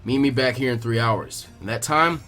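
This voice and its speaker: A man puts on the voice of a gruff veteran ninja teacher in an anime fan story. He speaks low, with a dry, unhurried and dismissive manner, as if effort bores him. Music plays underneath. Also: a bit bright, close to an everyday voice. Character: gruff, lazy adult male elite ninja instructor. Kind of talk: acted fan fiction reading.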